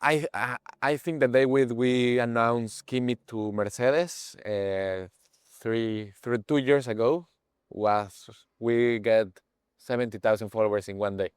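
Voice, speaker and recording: A man in his twenties from Mexico with a Mexican Spanish accent, speaking English as a second language, halting and searching for words as an interview guest. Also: mid-range voice, steady tone, smooth, no echo, clean studio sound. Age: 20s. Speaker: guest, page owner. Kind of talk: casual interview chat.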